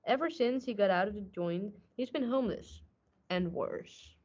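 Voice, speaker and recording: A woman's voice: medium pitch, moderate pace, darkish tone, lightly acted. A woman playing a weary, hard-boiled male police detective, narrating in tough, slangy radio noir style. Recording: muffled, noisy amateur stage recording.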